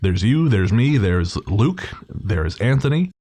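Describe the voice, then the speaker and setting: A man's voice: fairly low pitch, very animated, slow pace, warm tone, husky. A man hosting a podcast, casually introducing an episode and speaking to listeners in an informal, slangy way. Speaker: podcast host.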